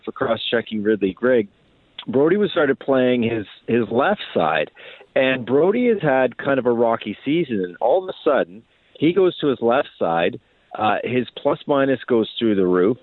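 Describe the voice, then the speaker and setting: A man's voice: on the low side, dry recording. A man giving conversational hockey analysis as a guest reporter, heard over a thin phone line.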